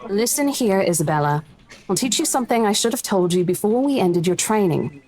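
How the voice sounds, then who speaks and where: A woman's voice: mid-range voice, very animated, fairly quick, darkish tone, close to an everyday voice. A woman voicing a brash, teasing, worldly office mentor in a visual novel game. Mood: teasing, confiding. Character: brash, worldly female real-estate mentor, teasing and pushy.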